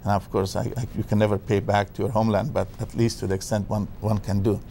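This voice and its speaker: Older Afghan guest expert, halting and full of fillers, dark and gravelly voice, faint noise behind. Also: male voice, on the low side, level tone. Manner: hesitant, many fillers and restarts. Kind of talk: TV interview.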